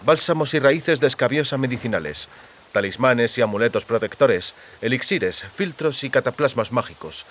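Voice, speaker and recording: Male audiobook narrator, medium pitch. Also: animated, moderate pace, fairly bright tone. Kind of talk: scripted literary narration.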